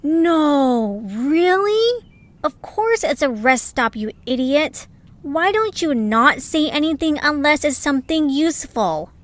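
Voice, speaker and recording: A woman's voice: high-pitched, very animated, slow pace, full character voice. A woman playing a bossy, scornful female creature in an amateur audio drama, sarcastic and brusque.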